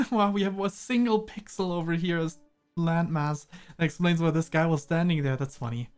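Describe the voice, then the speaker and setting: A man's voice: a little high, normal speed, smooth, faint music behind. A young man from Germany, a native German speaker with a slight British-American mix in his English, chatting casually and hesitantly while streaming a game. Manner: rambling, hesitant, laughs often. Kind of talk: casual gameplay chat.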